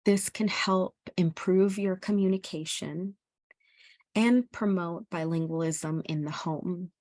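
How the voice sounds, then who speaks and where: Casting: woman, middle-aged, earnest parent presenter, slightly low register, slow delivery, informal teaching style. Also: a little mellow, dry recording. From El Salvador. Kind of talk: informal educational presentation with personal experience.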